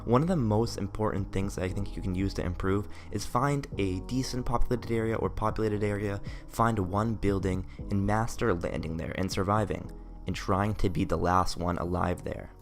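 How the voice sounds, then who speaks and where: A young man with a raspy, tired voice giving earnest gaming tips in a late-night voice-over. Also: lowish voice, moderate pace. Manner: earnest, advice-giving, loose phrasing. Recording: faint music behind voice. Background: faint background music.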